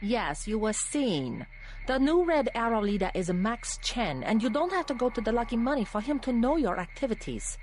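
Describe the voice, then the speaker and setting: A woman playing a sly, poised Hong Kong politician in a video game, with a measured, persuasive delivery. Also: a little high, very animated, a little mellow. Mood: guarded, brooding.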